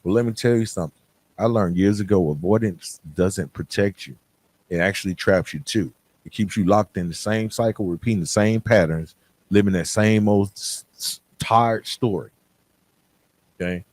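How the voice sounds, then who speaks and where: A man with a fairly low voice speaks as a podcast host in a close, dry recording. He is earnest and direct, talking colloquially and repeating points for emphasis as he motivates the listener. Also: moderate pace, a little mellow, no room sound. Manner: conversational, colloquial.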